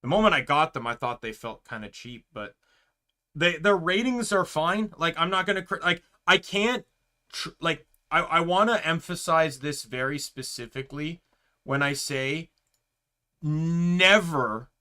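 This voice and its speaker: A young man streaming, chatting casually with a loud voice, rambling with lots of fillers and restarts. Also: fairly high pitch, unhurried.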